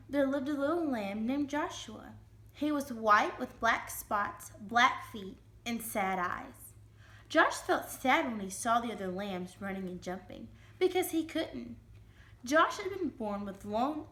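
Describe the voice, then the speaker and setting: A woman giving an expressive dramatic reading of a children's storybook. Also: a little high. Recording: roomy, echoing room sound.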